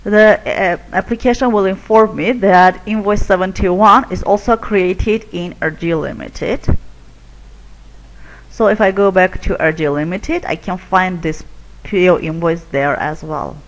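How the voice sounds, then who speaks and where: A woman presenting a software product in a webinar, explaining features step by step as she clicks through screens. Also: fairly low pitch, measured pace, poor audio.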